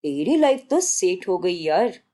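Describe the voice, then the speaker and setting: A woman's voice: on the low side, very animated, measured pace, mellow, voice pushed down. A woman voicing an admiring, chatty young female friend in a story, slangy and gushing. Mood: amazed, admiring. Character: admiring, chatty young college girlfriend visiting.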